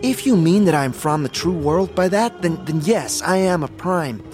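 An actor playing a proud, easily offended warrior in a fantasy audio drama, speaking in haughty, formal, archaic phrases. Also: male voice, a little high, animated, normal speed, darkish tone, faint music behind, natural voice. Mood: guarded, haughty.

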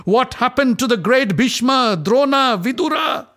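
A man doing a shrill, furious young queen. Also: animated, measured pace, a bit bright, heavily acted. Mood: outraged. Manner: shrill, screaming, accusing. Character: wronged young queen, proud, furious, desperate.

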